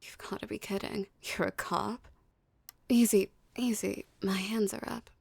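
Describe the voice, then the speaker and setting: A dominant female mafia boss, played by a woman for an ASMR roleplay, speaking in a mellow, creaky voice recorded close and dry. She teases and condescends softly, with seductive, menacing confidence. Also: medium pitch, steady tone, no echo. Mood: cool, threatening. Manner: slow, teasing, condescending drawl.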